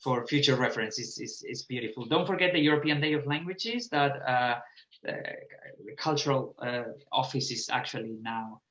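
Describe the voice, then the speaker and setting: A man hosts an online talk from a roomy, slightly dull-sounding connection. His voice is bright and forward. He speaks effusively, in halting phrases with repeated words. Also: medium pitch, expressive. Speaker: event host and moderator. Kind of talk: online talk moderation, reading audience questions. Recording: muffled, echoey room sound.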